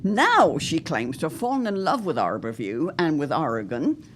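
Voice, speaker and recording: Casting: protective, witty middle-aged matron, period audio drama, theatrical swoops, lowish female voice, genteel airs. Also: big pitch swings, moderate pace. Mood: indignant, catty. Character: arch, witty, protective society mother in 1917.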